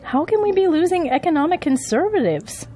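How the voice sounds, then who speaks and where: A woman's voice: very high voice, big pitch swings, fairly quick, mellow. A woman playing an indignant, loyal political campaign aide in an audio drama, defensive and argumentative.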